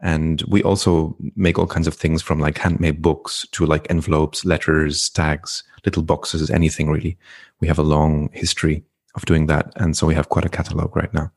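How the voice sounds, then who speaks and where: A middle-aged man from Flanders, speaking as a podcast guest in a reflective, unhurried way with frequent ums. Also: a bass voice, level tone, vocal fry. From Belgium (Flanders). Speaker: guest, entrepreneur and coach. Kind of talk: podcast interview conversation.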